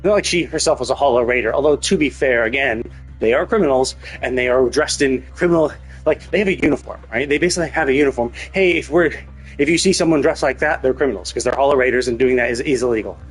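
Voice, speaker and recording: A man livestreams a game with an informal, conversational delivery at a middling pitch. He rambles through his reactions as casual commentary, with music playing faintly behind his voice. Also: fast, husky.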